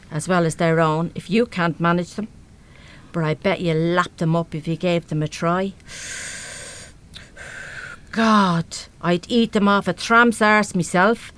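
A woman's voice: lowish voice, measured pace, fairly bright tone, clear, resonant tone. A middle-aged Irish mother in a radio play. She is brash and salty, with blunt, colloquial working-class speech and a big heart underneath. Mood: enthusiastic, pushy. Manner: blunt, colloquial, mildly sweary. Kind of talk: live radio play acting.

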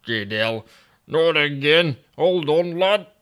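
Man putting on a Yorkshire accent, forty-year-old bloke, grumbling, swearing, higher than his own voice. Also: animated, slow pace, a bit bright, smooth. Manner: cursing, grumbling, exasperated. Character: gruff foul-mouthed Yorkshire man of forty.

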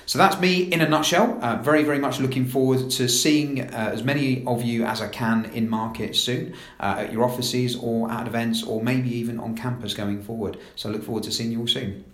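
A man's voice: mid-range voice, steady tone, brisk, hardly any pauses, fairly bright tone. London guy, 30s, chatty new staff intro, repeats "very, very", roomy sound. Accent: London English. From London, England. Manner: chatty, frequent "uh" fillers and repeated "very, very". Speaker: assistant director of recruitment.

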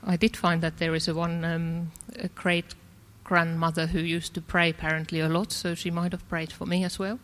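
A woman's voice: on the low side, flat delivery, moderate pace, few pauses, fairly bright tone. A woman from Finland with a Finnish accent, a native Finnish speaker, shares her personal testimony in a hesitant way with many fillers and restarts. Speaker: church member sharing her story.

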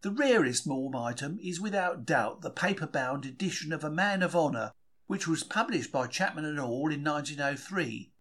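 Male, British accent, slightly high pitch, very animated intonation, wry storyteller, first-person diary narration, old-fashioned colloquial phrasing. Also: normal speed. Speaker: reader of a diary for a podcast.

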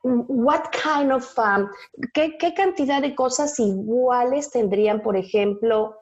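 A woman's voice: fairly high pitch, a little slow, a little mellow, dull audio. A woman with a Mexican Spanish accent and Spanish as her first language. She is an actress in a podcast interview, searching for English words and slipping into Spanish.

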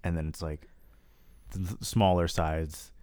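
A man's voice: low voice, fairly even intonation, measured pace, warm tone, no echo, clean studio sound. A man co-hosting a podcast, chatting casually in an easygoing, wisecracking way. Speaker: podcast co-host. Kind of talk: casual chat.

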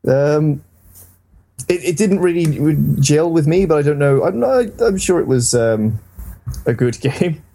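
A man's voice: a little high, expressive, measured pace, warm tone. A man hosting a podcast in casual, informal conversation about video games, with the odd mild swear. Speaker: podcast host.

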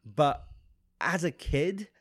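A man co-hosting a podcast in casual, enthusiastic chat, his voice nasal and rough from a flu. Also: fairly high pitch, slow pace, dry recording, clean studio sound. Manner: enthusiastic, animated fan talk. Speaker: podcast co-host.

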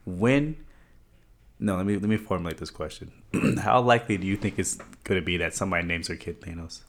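A young man co-hosting a podcast, laid-back and joking, tossing in quick agreeing asides. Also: deep voice. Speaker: podcast co-host. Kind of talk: casual chat about movies.

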